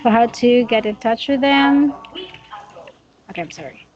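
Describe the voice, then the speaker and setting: A dull, lo-fi recording carries the fairly high voice of a woman in her late twenties. She teaches English conversationally, in simple halting phrases with a giggly manner. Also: expressive, music in the background. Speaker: English teacher in a one-on-one lesson. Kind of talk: casual conversational tutoring.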